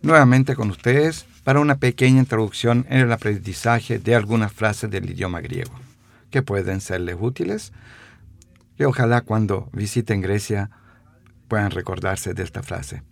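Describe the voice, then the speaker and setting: A man giving a radio language lesson, repeating phrases slowly with hesitant fillers. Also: lowish voice, gravelly, no room sound. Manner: slow, repeats phrases for dictation; stumbling fillers.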